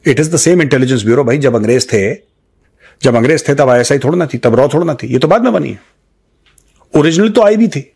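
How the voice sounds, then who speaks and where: A man's voice: a little high, slightly rough. A man with an Indian accent giving unscripted political commentary to viewers, emphatic, repeating phrases, asking rhetorical questions and switching into English phrases. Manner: mixes English phrases into talk.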